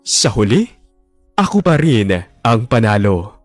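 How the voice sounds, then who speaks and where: A man's voice: medium pitch, sing-song, slow, over music. An actor narrating in first person as a bitter, jilted young man, brooding and resentful, in a radio drama.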